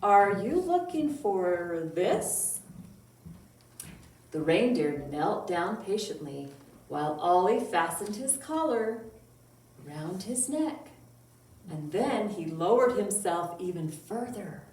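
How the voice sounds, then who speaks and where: A woman reading a picture book aloud to young children as a storytime librarian, with drawn-out words and dramatic emphasis. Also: medium pitch, sing-song, a little mellow. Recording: roomy echo, distant mic, faint noise.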